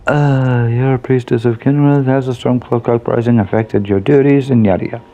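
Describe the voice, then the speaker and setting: A man's voice: mid-range voice, fairly even intonation, normal speed, dark tone, muffled recording. A man giving casual, chatty, rambling commentary as he plays through a video game. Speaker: gaming video host and reviewer. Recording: faint background game noise. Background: video game ambience.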